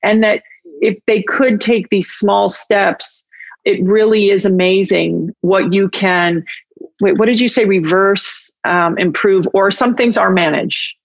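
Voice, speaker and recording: Female podcast host, mid-range pitch, informal and halting, encouraging, on a close dry recording. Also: steady tone, vocal fry, no echo. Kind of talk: casual conversational interview. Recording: dry, slightly dull lo-fi sound.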